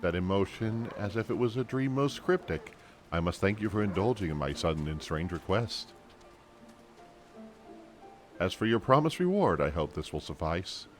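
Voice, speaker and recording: A man's voice: sits low, expressive, normal speed, soft background music. A man voicing a courteous, cryptic quest giver in a fantasy game, formal and theatrical. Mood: gracious.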